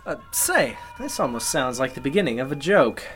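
A man's voice: medium pitch, very animated, normal speed, a bit bright, soft background music. A man playing a jovial, sociable congressman in a radio drama, chatty and polite. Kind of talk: golden-age radio drama acting.

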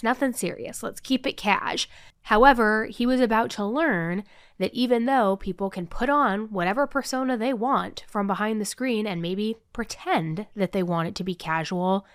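A woman hosting a true crime podcast in a casual, animated way, a little nasal from being under the weather. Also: mid-range voice, dry recording, studio quality. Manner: animated, talks with hands, lots of "like". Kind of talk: casual chatty podcast storytelling. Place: new office studio set. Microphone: podcast microphone.

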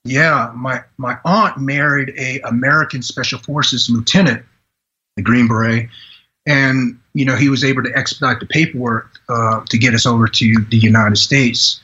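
A man in his forties from Vietnam, a podcast guest telling his life story in an earnest, halting way with many restarts. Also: mid-range voice, fairly even intonation, pushed and bright, highs cut off. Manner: earnest, halting delivery with frequent "you know" restarts. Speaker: podcast guest, retired Green Beret. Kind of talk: personal storytelling in a podcast interview.

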